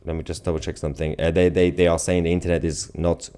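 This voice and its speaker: A man's voice: low voice, flat delivery, fast, mellow, clear, resonant tone. A man hosting a live stream in an informal, off-the-cuff way, reading out viewer comments and giving casual commentary.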